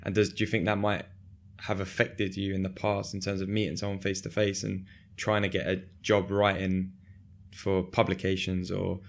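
Guy hosting a chill interview, lowish gravelly voice, rambly questions. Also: moderate pace. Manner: relaxed, agreeable, asks loose rambling questions. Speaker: interview host. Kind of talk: casual interview chat.

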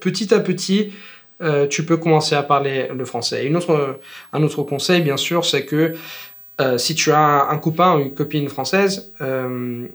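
A man's voice: a little high, a little slow. Italian man chatting loosely in French. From Italy. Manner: relaxed, rambling, many fillers and restarts. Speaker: guest, language teacher. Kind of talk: casual conversational interview.

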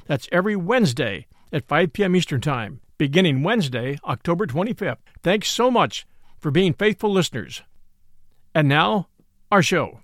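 A man's voice: fairly high pitch, expressive, normal speed, fairly bright tone, dry recording, studio quality. A man hosting a podcast, reading introductions and announcements. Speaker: podcast host.

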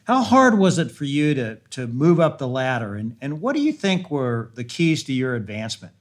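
A man's voice: medium pitch, big pitch swings, normal speed. A man hosting an interview, asking conversational questions in a probing, encouraging manner. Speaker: interview host.

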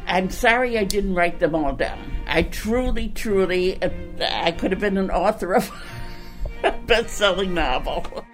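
A woman's voice: medium pitch, unhurried, soft background music. An older woman who works as a county clerk, recalling stories in an interview. She chats, laughs often and repeats her words. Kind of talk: interview recollection.

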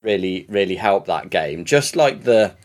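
A man chatting casually on a podcast, rambling with many restarts and swearing now and then as he gets excited. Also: sits low, measured pace. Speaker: podcast co-host. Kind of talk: casual podcast chat about games.

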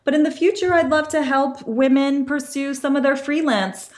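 A woman's voice: high-pitched, moderate pace. Earnest young woman speaking openly. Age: young adult. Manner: earnest, open, talks in long flowing runs with restarts. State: pregnant. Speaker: career coach, podcast guest. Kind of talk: interview conversation.

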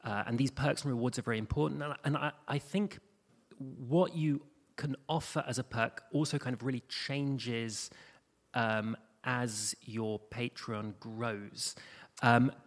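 Male panel guest, mid-range voice, explanatory and halting, recorded live in a reverberant hall. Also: mid-range voice, fairly even intonation. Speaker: panel guest, podcast creator. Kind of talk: unscripted explanatory panel talk. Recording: echoey hall with long reverb.

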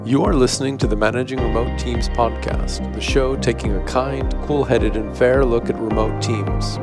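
Male host, smooth scripted intro read, loud music bed behind. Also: mid-range voice, normal speed. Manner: even, polished read. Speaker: podcast host.

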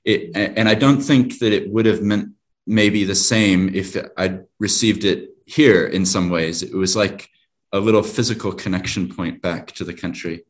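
A man from New Zealand hosting an interview, speaking in a warm, encouraging way. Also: deep voice, steady tone, moderate pace. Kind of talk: conversational interview.

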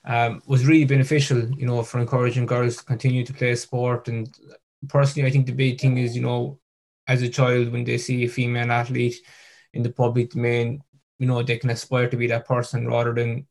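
A man hosting an interview, working his way through his questions with false starts and repeated words. His pitch stays flat and level, and he speaks at an easy conversational pace. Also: gravelly. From Ireland. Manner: hesitant, stumbling questions. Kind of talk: casual interview.

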